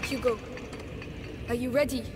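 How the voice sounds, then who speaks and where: An actress playing a brave, protective teenage older sister in a dramatic video game, earnest and urgent. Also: female voice, a little high, steady tone, moderate pace, very noisy, close to an everyday voice. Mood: resolute.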